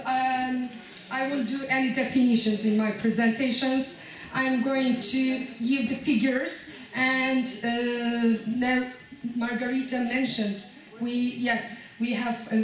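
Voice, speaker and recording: A woman presents at a conference, her voice sitting a little high and her delivery slow and halting as she searches for words. She is heard from some distance off the mic, in a hall full of echo. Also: a little hiss or hum. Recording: very echoey hall, voice from far off.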